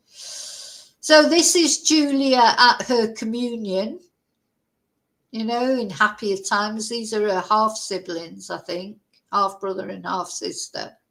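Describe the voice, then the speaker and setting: A woman hosts a true crime livestream in a relaxed, chatty way. She reads from an article, then drifts into asides and corrects herself as she goes. Her voice sits at an ordinary middle pitch. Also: gravelly, rough recording. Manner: chatty, breaks off the reading for asides and self-corrections. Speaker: true crime stream host. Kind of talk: livestream chat, reading a news article aloud. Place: livestream at home.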